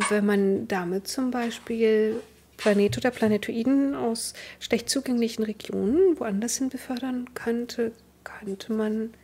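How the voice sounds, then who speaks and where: A woman voicing a nervous, eager inventor in a sci-fi roleplaying game, halting and trailing off into breathiness. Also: a little high, darkish tone, a little hiss or hum.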